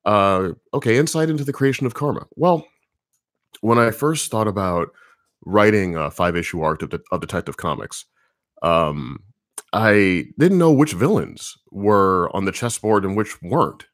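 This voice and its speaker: A man with a very deep voice, a comics writer casually answering viewer questions on a livestream, thinking aloud with lots of ums. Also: moderate pace, no echo, clean studio sound. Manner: relaxed, rambling, thinking aloud with many fillers.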